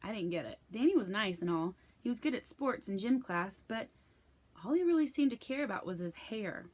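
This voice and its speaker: A woman giving an expressive scripted reading of a children's novel as narrator. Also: mid-range voice, normal speed. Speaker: storybook reader.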